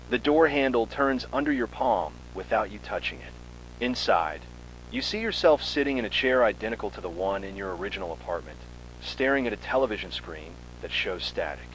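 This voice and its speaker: A man narrating a scripted second-person horror story in a measured, ominous, dramatic way. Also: fairly low pitch, a bit bright, slight background noise, poor audio.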